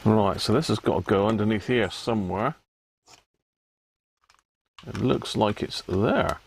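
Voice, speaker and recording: A man's voice: fairly low pitch, unhurried, creaky, slight background noise. A British man hosting a livestream, chatting casually and colloquially as he thinks aloud. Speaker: livestream host. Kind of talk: casual rambling chat to live viewers.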